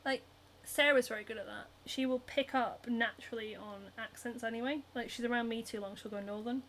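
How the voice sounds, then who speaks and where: A woman's voice: fairly high pitch, fairly even intonation, a little fast, a bit bright, a little hiss or hum. A young woman with a Northern English accent, hosting a casual livestream while she sews, reading out viewer comments and chatting with lots of giggles, ums and likes. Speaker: cosplayer and livestream host. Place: home, hand sewing while streaming.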